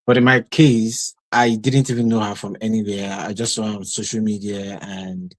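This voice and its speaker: A young man with a Nigerian accent answering interview questions spontaneously, haltingly, repeating phrases as he searches for words. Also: on the low side, level tone, no room sound. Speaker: interview guest, master's student.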